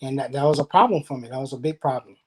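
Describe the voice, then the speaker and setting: A man speaks earnestly as a guest in a casual discussion. His pitch sits in the middle, and he restarts a few phrases along the way. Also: rapid-fire, darkish tone, husky, rough recording.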